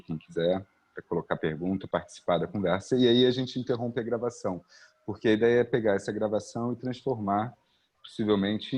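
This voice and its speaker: A Brazilian man, a philosophy professor, opening an online panel in a halting, casual way on a video call. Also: lowish voice, a little mellow, dull audio. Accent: Brazilian Portuguese. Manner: halting, restarts phrases, many "né" and "ah" fillers.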